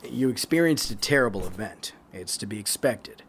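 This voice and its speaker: An actor playing a gentle but persistent male government investigator in an audio drama, measured and polite. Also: fairly low pitch, animated, a little hiss or hum. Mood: sympathetic, businesslike. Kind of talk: naturalistic audio drama acting.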